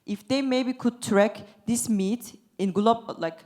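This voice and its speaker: A woman's voice: on the high side, takes it slowly, a little mellow. A woman from Turkey moderating a panel, speaking casually with many filler words. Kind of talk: panel discussion.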